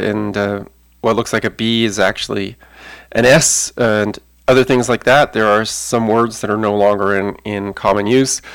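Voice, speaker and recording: Low-voiced man explaining. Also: fairly bright tone, clear, resonant tone. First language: not Italian. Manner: measured, explanatory, with frequent fillers. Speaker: lecturer. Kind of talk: spoken talk.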